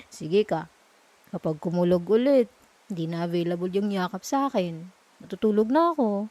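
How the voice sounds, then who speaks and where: A woman with a Filipino accent playing a sweet, teasing, comforting girlfriend in a soft, intimate ASMR roleplay. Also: medium pitch, natural voice. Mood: playful teasing. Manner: soft, tender, playful, soothing.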